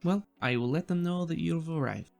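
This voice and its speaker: Friendly female receptionist played by a man, tabletop game: attentive, courteous, lifted pitch with a creaky edge, music underneath. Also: moderate pace, a little mellow. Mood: friendly, polite. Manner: polite, helpful, attentive. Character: friendly female receptionist with hair cords, quietly concerned.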